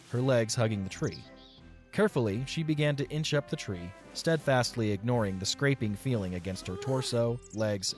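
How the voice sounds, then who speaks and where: Lowish-voiced man narrating a story.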